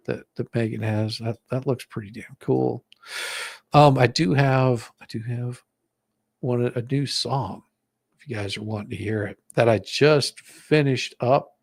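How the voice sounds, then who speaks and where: A man's voice: mid-range voice, fairly even intonation, unhurried, vocal fry, no echo. A man chatting casually on a livestream in a rambling, hesitant way as the host.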